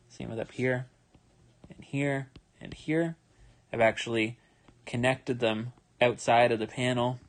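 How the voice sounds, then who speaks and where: A tired young man with a relaxed, rambling manner, talking casually with many fillers as he draws live and explains his steps. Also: mid-range voice. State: tired, yawning. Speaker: manga artist streaming his drawing. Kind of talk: casual livestream commentary while drawing.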